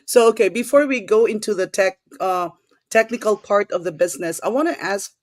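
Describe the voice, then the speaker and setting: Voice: medium pitch, brisk, no echo. Encouraging livestream host, dry sound. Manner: encouraging, brief reactions. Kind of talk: casual interview on a livestream.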